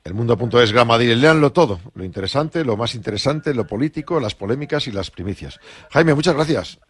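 A man hosting a radio show, emphatic and energetic, reading an ad live. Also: mid-range voice, a little fast, breathy edge. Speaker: radio host.

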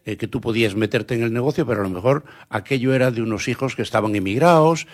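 A man with a husky, slightly breathy voice leads a relaxed radio interview. He asks friendly questions and keeps his guest going with short encouraging replies. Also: mid-range voice, fast. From Galicia.

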